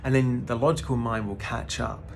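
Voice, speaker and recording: A man's voice: medium pitch, steady tone, moderate pace, creaky, a little hiss or hum. A man in his thirties giving a casual, laid-back spiritual talk to the camera, often calling the listener "man" and swearing now and then. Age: 30s. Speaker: spiritual vlogger.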